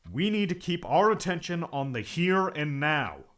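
A man's voice: a little high, sing-song, unhurried, a bit bright. A man acting a stern, exasperated friend in an audiobook, blunt, scolding and insistent.

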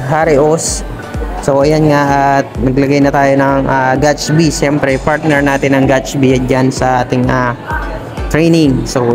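Man narrating a barbering vlog. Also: fairly high pitch. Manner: relaxed, many fillers. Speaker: barber trainer and vlogger.

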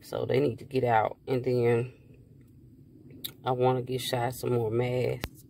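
A woman in her thirties talks into a phone held at arm's length inside a parked car. Her voice is gravelly and her delivery unhurried. She rambles in a relaxed way, with plenty of fillers. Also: extremely low pitch, slight background noise. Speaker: vlogger. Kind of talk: casual car chat to camera.

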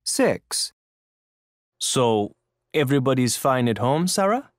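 A man reading scripted language-course lines with clear enunciation. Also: medium pitch, very animated, slow, no echo, studio quality. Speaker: announcer and dialogue actor.

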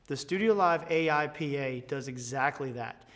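A man's voice: a little high, normal speed, a bit bright. An American man in his thirties giving an instructional product tutorial in an explanatory, promotional way. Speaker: presenter, product education manager. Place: large indoor school band room.

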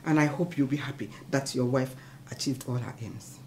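A woman's voice: very deep voice, normal speed, a little mellow. An actress playing a wounded, proud middle-aged mother in a film melodrama, speaking emphatically. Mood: angry, defiant. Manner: emphatic, declamatory. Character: wounded, proud elder mother scolding her son. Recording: music under the dialogue.

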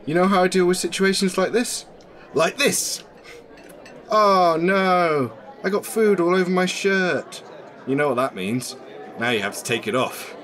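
An actor playing a playful, flirtatious man in a radio drama, with a teasing, animated delivery. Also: sits high, measured pace, some chatter behind. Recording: music under the voice.

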